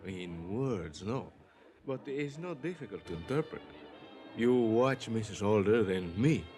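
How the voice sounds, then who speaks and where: Calm courteous bullfighter, fairly low voice, formal phrasing, easy manner, old radio recording with music. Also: male voice, measured pace. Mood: calm, perceptive. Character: quiet, observant, courteous Spanish-speaking male matador. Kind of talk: old-time radio drama acting.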